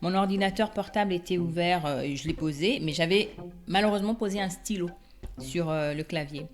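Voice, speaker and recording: A middle-aged woman from the Democratic Republic of the Congo telling her own story conversationally, animated and often searching for her words. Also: on the low side, fairly quick, faint music behind. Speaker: interview guest, independent export consultant. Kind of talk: personal testimony, conversational storytelling.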